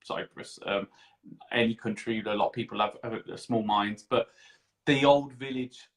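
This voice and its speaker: A man's voice: medium pitch, steady tone, moderate pace. Effusive, rambling male interview host. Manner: effusive, rambling, repeats phrases. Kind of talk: casual interview chat.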